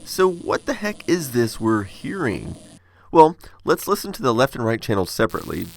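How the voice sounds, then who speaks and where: A man's voice: mid-range voice, sing-song, moderate pace. A man in his forties hosting a tech video, explaining things in a conversational way. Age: 40s. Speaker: host of a retro technology video channel.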